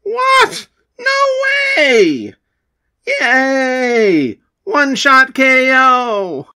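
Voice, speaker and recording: A man voicing an excitable, easily alarmed schoolkid in a comic, exclaiming melodramatically. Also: very high-pitched, drawn-out words, voice pushed up, exaggerated voice. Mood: excited, shocked.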